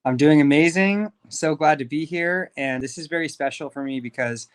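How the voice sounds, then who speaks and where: A man's voice: a little high, moderate pace, clean tone. Upbeat thirty-year-old man. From Los Angeles, USA. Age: 30s. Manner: upbeat, candid, talks freely with fillers. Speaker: podcast guest, life coach. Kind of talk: casual interview storytelling.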